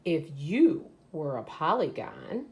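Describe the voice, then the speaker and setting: Female elementary teacher, slightly low voice, very unhurried, reading a picture book aloud with explanatory asides for children. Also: big pitch swings, very noisy. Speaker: third grade teacher.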